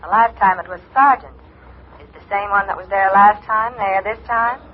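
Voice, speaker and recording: Male actor: taunting villainous caller, high voice, sarcastic endearments, muffled old radio drama. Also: fairly even intonation, normal speed, a little hiss or hum, close to an everyday voice. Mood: mocking menace. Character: mocking, menacing male caller toying with his victim. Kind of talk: old-time radio thriller acting.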